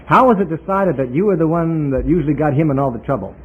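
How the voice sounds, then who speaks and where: Lively-pitched male interviewer, tinny old radio sound. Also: quick pace. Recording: noisy, tinny 1950s radio interview recording. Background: clear hiss and noise.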